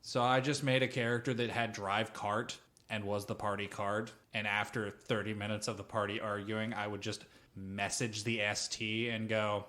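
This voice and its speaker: A man co-hosting a casual tabletop gaming chat, rambling through anecdotes with frequent swearing and an animated storytelling manner. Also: on the low side, moderate pace. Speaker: podcast co-host. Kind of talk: casual chat about tabletop role-playing.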